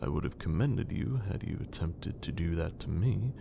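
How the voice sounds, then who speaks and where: A man playing a haughty, menacing vampire lord in an ASMR roleplay, with condescending, theatrical speech and a breathy, creaky voice. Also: low voice, lively intonation, noisy background, heavily acted. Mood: dry, condescending. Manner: slow, condescending, theatrical, archaic wording.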